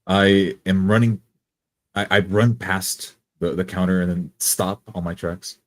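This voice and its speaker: A man's voice: low voice, steady tone, measured pace, dry recording. A man playing a tabletop game, improvising his character's actions in the first person.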